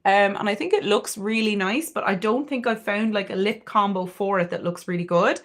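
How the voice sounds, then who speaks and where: A woman's voice: medium pitch, normal speed, a bit bright. A young woman with a Cork Irish accent, chatting candidly and swearing freely while doing her makeup on a livestream. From Ireland, Cork. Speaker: beauty livestreamer. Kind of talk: casual makeup livestream chat.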